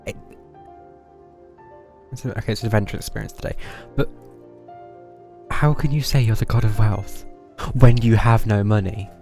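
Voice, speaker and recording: Guy streaming a game, kinda low voice, halting wry casual comments, bit of music in the background. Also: creaky, darkish tone. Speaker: live streamer.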